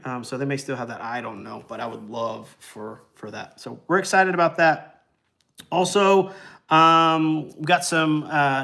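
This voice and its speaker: A man's voice: mid-range voice, bright, forceful tone. A man of forty, chatting casually and rambling with lots of ums while hosting a travel livestream. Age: 40s. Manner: rambling and easygoing, many ums and uhs, chuckles.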